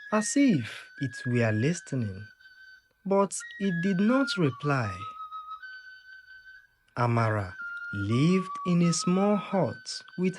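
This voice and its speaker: A storyteller narrating a scripted folktale in a dramatic, measured way with deliberate pauses. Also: mid-range voice. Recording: music under the voice.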